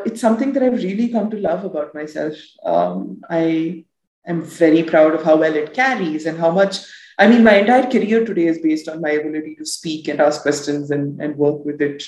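A woman in her thirties from South Asia with a deep voice, speaking as a podcast guest in a candid, reflective way and laughing easily. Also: a little mellow, echoey room, low-quality recording. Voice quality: deep, low voice. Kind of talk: candid conversational interview.

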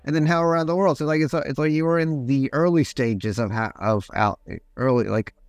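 A man's voice: a little high, brisk, no room sound, soft background music. A man hosting a podcast interview, asking long rambling questions full of filler words. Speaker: podcast host. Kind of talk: casual interview.

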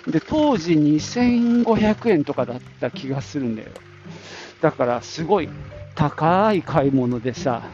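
A middle-aged or older man chatting casually on his own as a podcast host, reminiscing in a reflective way and thinking aloud. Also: medium pitch. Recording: dull lo-fi audio, prominent music. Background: music.

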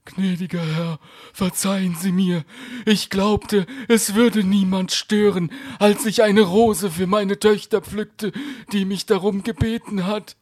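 A humble storybook merchant, played by a man, with a voice lifted high. He speaks earnestly and deferentially, timid and very courteous. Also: steady tone, slow, a little mellow. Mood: frightened pleading. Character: timid, polite merchant, humbly grateful and pleading.

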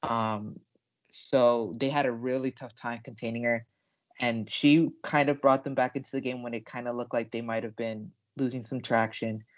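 A man's voice: mid-range voice, steady tone, normal speed. A young man co-hosting a sports podcast, talking casually with emphatic, enthusiastic delivery.

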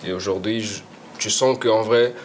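Relaxed slangy young man, lowish voice. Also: normal speed, a little hiss or hum. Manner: relaxed, slangy, trails off and restarts. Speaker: rapper guest. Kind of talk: casual chat.

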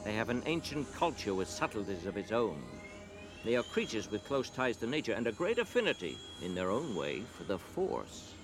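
An old sage in a radio drama, with music clearly behind him. His voice is fairly low and moves expressively, yet stays measured, formal and watchful. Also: very animated. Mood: musing. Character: wise, calm, watchful old male mentor.

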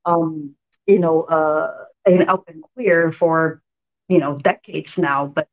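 A woman's voice: deep voice, steady tone, slow, phone-quality sound. A woman from Malaysia, hosting a spiritual talk show interview in an enthusiastic, effusive way. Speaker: podcast host.

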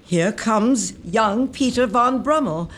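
A woman's voice: on the high side, lively intonation, slow. A woman playing a hearty, cantankerous old aunt who teases and exclaims in nautical talk, in a gothic comedy audio drama. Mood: delighted, fussing.

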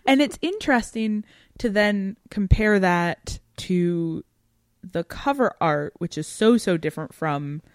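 A woman's voice: medium pitch, animated, a little slow, no room sound, studio quality. A woman co-hosting a podcast, talking through ideas and addressing the listeners. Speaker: podcast co-host. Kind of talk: podcast discussion and sign-off.

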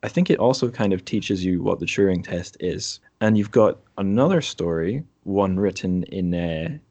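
A man's voice: deep voice, animated, moderate pace, warm tone. A man hosting a podcast, chatting casually and informally through an interview about books, with asides as he explains. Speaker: podcast host.

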